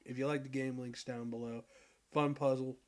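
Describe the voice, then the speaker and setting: A man with a deep voice, hoarse from a cold, giving relaxed, rambling commentary while playing a puzzle game. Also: moderate pace. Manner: relaxed, rambling, clears throat and laughs at himself. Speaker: gaming video creator. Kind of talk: casual gameplay commentary.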